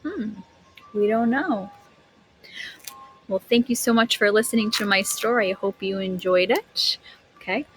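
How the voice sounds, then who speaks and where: A woman's voice: medium pitch, a little slow, long pauses, soft background music, rough recording. A woman teacher reading a picture book aloud to young children, expressively, stretching words and putting questions to her listeners.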